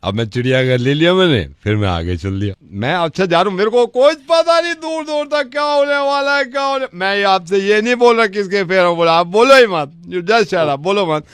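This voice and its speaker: A man making a prank phone call, telling a rambling story insistently and repetitively in a mock-earnest deadpan way. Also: high voice, fairly quick, few pauses, clean tone, dry recording, clean studio sound. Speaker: radio prank caller.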